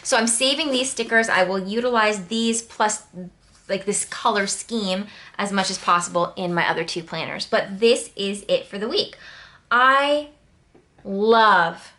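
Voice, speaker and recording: A woman's voice: mid-range voice, unhurried, pushed and bright. An American woman from Connecticut talking casually through a planner walkthrough, wandering into chatty asides. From Connecticut, New England, United States. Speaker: planner channel host.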